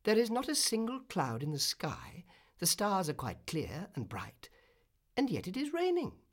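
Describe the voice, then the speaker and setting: A storyteller voicing a small, vain, brisk male swallow from a storybook, exclaiming bluntly and quick to complain. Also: medium pitch, very animated, husky, pitched above the performer's own voice. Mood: puzzled, annoyed. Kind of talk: storybook character voice.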